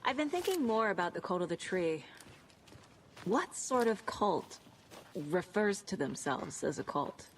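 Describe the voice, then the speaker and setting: An actress playing a composed, analytical investigator, serious and measured, in a video game. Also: female voice, on the low side, sing-song, a little hiss or hum. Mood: pensive, determined. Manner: measured, probing. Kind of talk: naturalistic video game acting.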